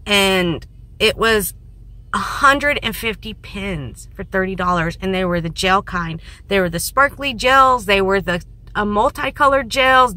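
A woman in her thirties with a hoarse, fading voice, chatting casually and rambling in a vlog from a parked car into a phone held at arm's length. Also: mid-range voice, bright, forceful tone. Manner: rambling, self-mocking, laughs often. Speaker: vlogger.

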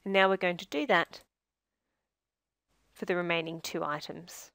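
Woman, lowish pitch, scripted tutorial narration, measured instructional delivery, dry close recording. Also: fairly even intonation, creaky, a bit bright, dry recording. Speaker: software demo narrator.

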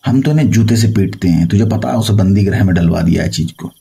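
A man's voice: sits low, quick pace, gravelly, mellow, husky. A man from India speaking as a tantric guru on a phone call, boasting and reassuring with authority. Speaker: tantric guru advising a caller. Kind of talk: phone consultation, boastful storytelling.

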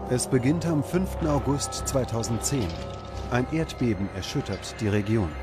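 A man reading scripted documentary narration in a measured, serious way. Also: medium pitch. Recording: music under the narration. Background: background music.

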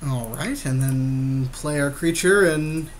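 A man's voice: medium pitch, takes it slowly, a little hiss or hum. A man giving casual game commentary as a podcast co-host, slangy, agreeable and joking. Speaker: podcast co-host playing an online card game.

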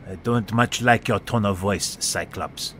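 A man's voice: fairly low pitch, unhurried, a bit bright, a little hiss or hum. An actor playing a proud, hot-tempered young male superhero in an audio drama, speaking formally and with disdain. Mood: cold, irritated.

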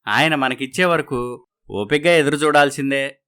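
Patient, wistful husband in a moral story, played by a man: resigned, middle-pitched, understated. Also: normal speed, pushed and bright, clear, resonant tone, lightly acted.

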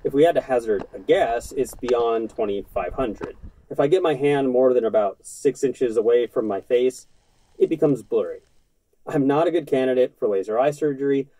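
A man's voice: medium pitch, moderate pace, no echo, poor audio. A man in his thirties talking to camera indoors, giving a scripted, matter-of-fact explainer on outdoor gear. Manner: earnest, matter-of-fact, steady delivery. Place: indoors in front of a brick-pattern backdrop.

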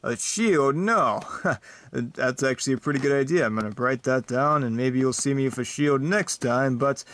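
A man's voice: medium pitch, normal speed, fairly bright tone, a little hiss or hum, close to an everyday voice. A man voicing a boastful, clueless superhero who rambles and bumbles.

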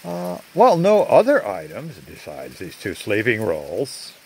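An older man narrating a rambling video outdoors among trees, talking in a halting way with many fillers, recorded on a handheld phone. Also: fairly low pitch, huge pitch swings, creaky, darkish tone, a little hiss or hum. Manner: slow, halting, full of uh fillers. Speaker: vlogger. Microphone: phone at arm's length.

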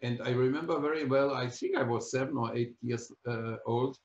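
A man in his seventies recalling his childhood in an interview, halting and full of fillers, over a video call from a room with bookshelves. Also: medium pitch, steady tone. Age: 70s. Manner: halting, many fillers and restarts. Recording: echoey, dull video-call audio.

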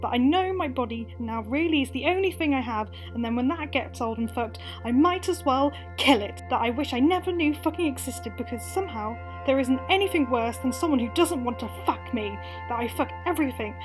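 Young female narrator for radio drama monologue, high-pitched, wry and frank, music underneath. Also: lively intonation, brisk, hardly any pauses. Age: young adult. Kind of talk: confessional radio play monologue, acted narration.

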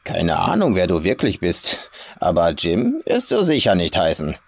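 A man's voice: sits low, wildly swooping pitch, normal speed, no room sound, full character voice. A man voicing a sinister old farm woman in a horror audiobook, folksy and coolly menacing in clipped sentences. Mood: mock-regretful, menacing. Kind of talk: audiobook horror story character.

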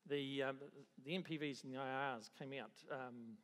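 Middle-aged New Zealand man, mid-pitched, presenting in a reverberant hall, stop-start and wry. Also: steady tone, a bit bright. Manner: halting, many restarts and fillers, dry understatement. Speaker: agricultural economist presenting at a conference. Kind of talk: conference presentation. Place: large conference hall. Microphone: handheld microphone.

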